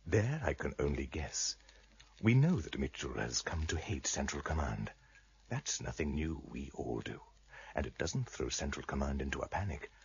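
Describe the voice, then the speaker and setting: An actor playing a shrewd, courtly blind trader in a radio drama, husky and gravelly, speaking in a measured, formal, wry way. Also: male voice, extremely low pitch, very animated, darkish tone, lo-fi sound, exaggerated voice. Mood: grave, knowing.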